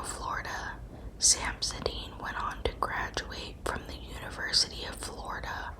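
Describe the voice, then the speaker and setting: A woman narrating a scripted true crime story in a breathy, unvoiced ASMR whisper, with measured, deliberate phrasing. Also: poor audio.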